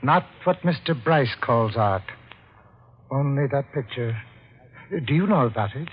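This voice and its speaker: An actor playing an odd, deferential butler, quietly obsessed and reverent, in an old-time radio drama. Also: male voice, medium pitch, expressive, unhurried, close to an everyday voice. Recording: dull, faintly noisy old radio recording.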